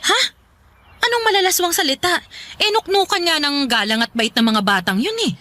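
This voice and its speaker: A woman's voice: high-pitched, a little fast, no echo. A woman playing a defensive, argumentative middle-aged mother who scolds and dismisses, in a Filipino radio drama. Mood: surprised, doubting. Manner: argumentative, sharp questioning retorts.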